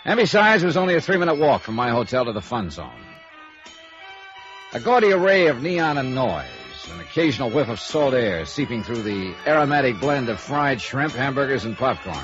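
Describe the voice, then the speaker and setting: A man's voice: medium pitch, very animated, brisk, a bit bright. An actor playing a wry, easygoing male insurance investigator in a 1950s radio noir drama, bantering and narrating in a hard-boiled way. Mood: brisk narrating. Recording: dull vintage radio recording with music.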